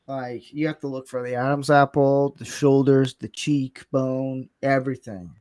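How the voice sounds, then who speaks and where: A man's voice: medium pitch, flat delivery, measured pace, darkish tone. A man in his late thirties hosting a casual livestream chat, informal and bantering. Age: late 30s. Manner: informal, bantering, occasionally swearing. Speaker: livestream host.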